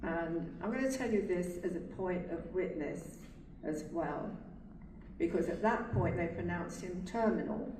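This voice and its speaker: A soft-voiced English woman shares her story earnestly, her tone slightly low and thoughtful. She stands away from the mic, so the hall's echo wraps her words, and steady room noise sits underneath. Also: a little slow. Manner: earnest and reflective, speaks from faith. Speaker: carer speaking at a carers' event. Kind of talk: personal testimony speech. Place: event hall. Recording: echoey hall, distant mic, room noise.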